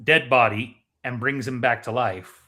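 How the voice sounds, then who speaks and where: A man talking casually as a podcast co-host, explaining things in a measured way. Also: mid-range voice, steady tone, pushed and bright. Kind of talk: casual movie chat.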